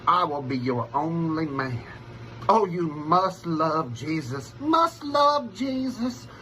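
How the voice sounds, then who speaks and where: Man playing a bright, brash Southern country bachelor. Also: sits high, takes it slowly, slight background noise, heavily acted, poor audio. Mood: brash, boastful. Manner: folksy, brash. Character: cocky rural Kentucky bachelor, boorish and domineering.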